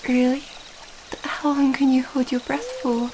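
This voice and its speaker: A woman's voice: high-pitched, flat delivery, a little slow, background noise. A woman playing an affectionate young princess in love, speaking tenderly and hesitantly in a romantic fantasy ASMR roleplay. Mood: curious, lighthearted.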